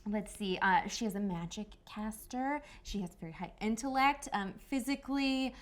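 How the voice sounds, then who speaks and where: A woman's voice: medium pitch, moderate pace. A woman player casually describing her character at a tabletop roleplaying game.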